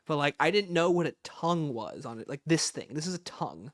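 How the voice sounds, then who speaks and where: A man's voice: on the high side, sing-song, brisk, no echo. A man hosting a livestream, casually reading out questions and answering them with emphatic opinions.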